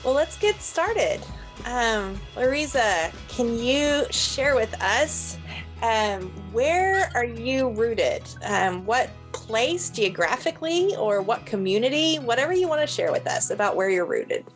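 Female podcast host, fairly high voice, unhurried conversational delivery. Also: very animated, soft background music. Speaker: podcast host, church staff officer.

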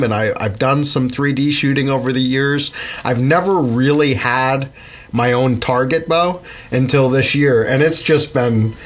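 Stuffed-up man hosting a podcast. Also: medium pitch. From Pennsylvania, USA. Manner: rambling, chatty, with many fillers. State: stuffed up with a summer cold. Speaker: podcast host and magazine editor. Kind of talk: casual podcast chat.

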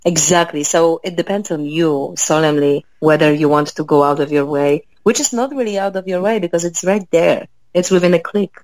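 A woman from Poland with a Polish accent, a guest in a relaxed interview, pausing often with fillers as she thinks aloud. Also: deep voice, dry recording, poor audio. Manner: hesitant, many fillers, thoughtful. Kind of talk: conversational interview.

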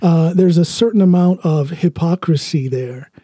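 Man, from the US, podcast host, casual blunt talk, raspy, very dry close sound. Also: fairly high pitch, normal speed, mellow, dry recording. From United States. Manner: laughs often, blunt and joking.

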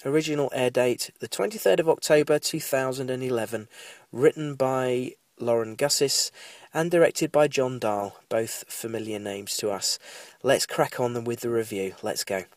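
A man in his thirties talks as a solo podcast host reviewing television. His mid-pitched voice is stuffed up and nasal from a cold. He speaks casually and tends to wander, in a close, dry recording. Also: normal speed, no echo. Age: 30s. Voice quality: nasal, congested. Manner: rambling, digressive, apologetic asides. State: has a cold. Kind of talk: casual TV recap podcast monologue.

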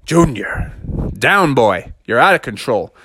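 A man voicing a courteous, bossy father bear in a kids' story, speaking deliberately and emphatically. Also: mid-range voice, sing-song, fairly bright tone, a little hiss or hum. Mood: calming, stern.

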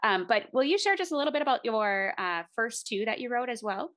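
A woman's voice: a little high, big pitch swings, fairly quick, fairly bright tone. A woman hosting a podcast interview, talking in an effusive, enthusiastic way with her guest. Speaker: podcast host.

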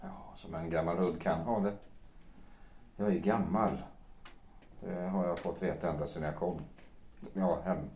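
An actor playing a melancholy, self-pitying writer of fifty in an audio drama, speaking haltingly and broodingly. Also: male voice, deep voice, fairly even intonation, darkish tone, slight background noise. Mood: bitter, weary. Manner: halting, brooding delivery with repeated words. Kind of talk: stage-play audio drama acting. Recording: roomy, echoing sound.

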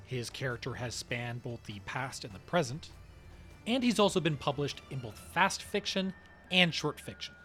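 Animated male podcast host. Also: mid-range voice, normal speed, faint music behind. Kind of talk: scripted podcast narration.

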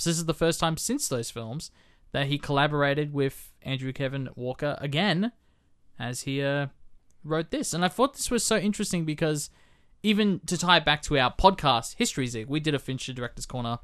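A man's voice: mid-range voice, fairly bright tone, clean tone. A young man co-hosting a film podcast who talks in a chatty, rambling way and often restarts his sentences. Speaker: podcast co-host. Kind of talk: casual film podcast chat.

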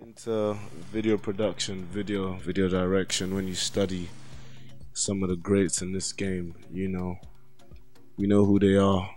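A man's voice: low-pitched, level tone, a little slow, darkish tone, faint music behind. A man, speaking conversationally as an interview host.

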